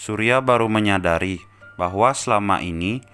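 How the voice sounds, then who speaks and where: A man's voice: fairly low pitch, normal speed, fairly bright tone, clear, resonant tone, faint music behind. A man delivering scripted narration for a story as its narrator.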